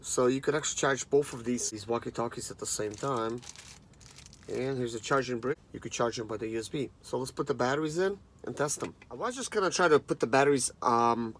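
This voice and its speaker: A man's voice: mid-range voice, fairly bright tone, slight background noise. A man in his thirties chatting casually and informally to viewers as he reviews a product. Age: 30s. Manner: informal, conversational, addresses viewers as guys. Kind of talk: casual unboxing chat to camera.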